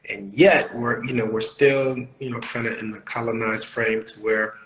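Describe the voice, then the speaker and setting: A man's voice: medium pitch, steady tone, normal speed, fairly bright tone, low-quality recording. Reflective man, Creole first language. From Bahamas. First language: Haitian Creole. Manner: reflective, frequent "you know" fillers. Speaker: podcast co-host. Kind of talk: casual conversational podcast.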